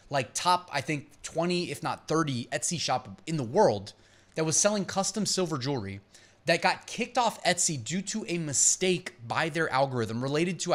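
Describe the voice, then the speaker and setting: A man's voice: a little high, fairly bright tone. A man hosting an advice channel, explaining points in an emphatic, punchy way and mixing read-out lines with his own commentary. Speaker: host of an online seller advice channel. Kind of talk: explainer commentary to camera, part reading out points.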